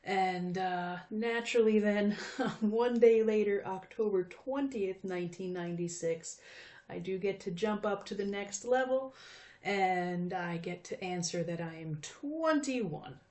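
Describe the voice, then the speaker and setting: A woman's voice: medium pitch, a little mellow. A woman in her fifties teaching math in a recorded video lesson, chatty and friendly, with frequent fillers. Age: 50s.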